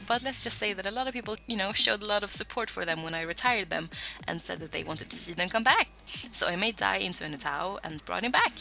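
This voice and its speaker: A young woman talks casually in a vlog, chatty and self-deprecating. Her mid-pitched voice has a gravelly fry to it. Music plays clearly behind her. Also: sharp tone. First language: Swedish. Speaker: voicebank creator answering fan questions.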